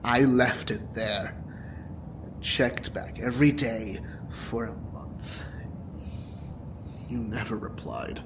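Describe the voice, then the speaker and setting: A man's voice: mid-range voice, slow, pauses often. An actor playing a bitter, wounded young male supervillain in an audio drama, taunting and dramatic in manner. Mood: angry, hurt. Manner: taunting, dramatic, profane, breaking into whispers and laughter. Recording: clear background noise and ambience.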